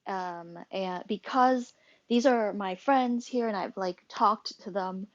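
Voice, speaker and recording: A woman hosts a relaxed social audio room and talks in a meandering way, full of pauses and restarts. Her pitch sits in a middle range. The sound is dry and slightly dull. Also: no echo. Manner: rambling, many fillers and restarts. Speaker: host and club moderator. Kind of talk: casual social audio chat.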